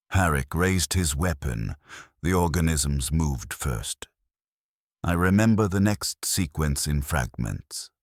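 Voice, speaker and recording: A man narrating a dark science fiction horror story in the first person, in a grave, measured storytelling manner. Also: rumbling low, no echo, clean studio sound.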